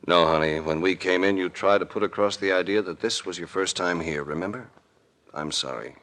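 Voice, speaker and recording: An actor playing a shrewd, calm, world-weary investigator with an American accent and a cool, deliberate delivery in an old radio drama. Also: male voice, deep voice. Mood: weary accusing. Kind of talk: 1940s-50s radio drama acting. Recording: vintage radio recording.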